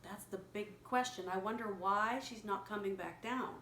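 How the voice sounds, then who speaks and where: A woman reading a picture story aloud to a young child, pausing to explain words simply and prompt repetition. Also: mid-range voice, a bit bright. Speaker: children's story reader and teacher. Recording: echoey room with clear background noise.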